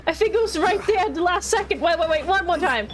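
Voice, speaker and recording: A woman's voice: extremely high pitch, lively intonation, fast talker. A woman streamer giving casual game commentary in a playful, pleading and apologetic way. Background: game music.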